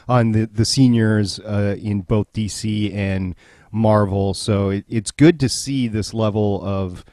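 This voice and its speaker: Man, low voice, podcast host, conversational, joking, dry recording. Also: a little mellow, no room sound. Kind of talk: casual TV recap chat.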